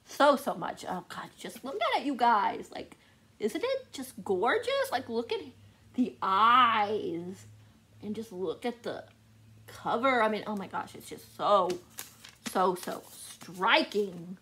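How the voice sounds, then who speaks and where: A woman's voice: mid-range voice, very animated, slow pace, bright, forceful tone. A woman chatting casually to viewers as a book vlogger, gushing and often repeating words for emphasis.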